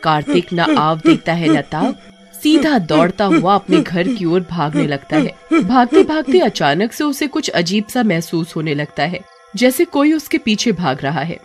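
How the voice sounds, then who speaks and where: A storybook narrator with a mid-pitched voice that rises and falls widely for drama. The telling is scripted and lively, and faint music plays underneath. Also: unhurried.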